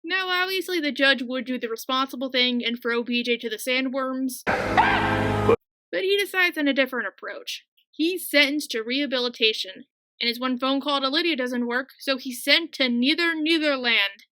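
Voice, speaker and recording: A woman's voice: sits high, moderate pace, sharp tone, dry recording. A young woman narrating a casual scripted video review, chatty and full of wry asides.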